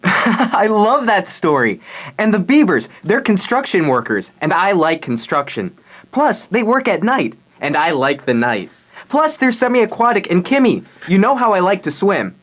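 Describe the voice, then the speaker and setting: A woman's voice: mid-range voice, big pitch swings, measured pace. Woman playing an earnest, wide-eyed nine-year-old boy. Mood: earnest, dreamy. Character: eager, wonder-struck nine-year-old boy who believes in fairy tales. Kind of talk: live radio theater script reading.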